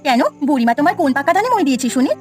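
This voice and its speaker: A woman voicing a kind, trusting young village wife in a cartoon, speaking quickly and earnestly. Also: sits high, lively intonation, soft background music. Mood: earnest, defensive. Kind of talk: Bengali village cartoon voice acting.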